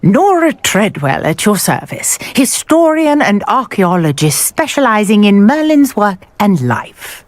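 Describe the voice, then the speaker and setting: An actress playing an eager, scholarly archaeologist of magic in a video game, expressive, articulate and inquisitive. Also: female voice, mid-range voice, measured pace, hardly any pauses, no room sound. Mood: enthusiastic, confiding. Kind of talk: scripted video game dialogue.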